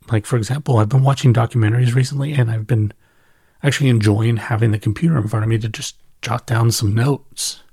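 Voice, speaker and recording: A middle-aged man with a husky voice speaks into a dry, close recording. He talks like a podcast host leaving a long, personal voice message, casual and reflective, often rephrasing as he goes. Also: medium pitch, a little mellow, no room sound. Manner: conversational, thinking aloud, self-correcting. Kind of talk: casual reflective solo audio monologue.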